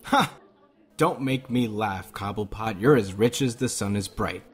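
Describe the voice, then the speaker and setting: A man playing a smug, mocking teenage school bully in an audio drama, with a husky voice. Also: fairly low pitch, a little slow.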